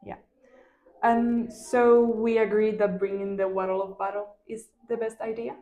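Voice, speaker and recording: A woman in her twenties, a native Spanish speaker, giving steady, thoughtful answers in a practice speaking exam. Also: fairly high pitch, measured pace. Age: 20s. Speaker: student, exam candidate. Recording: echoey room.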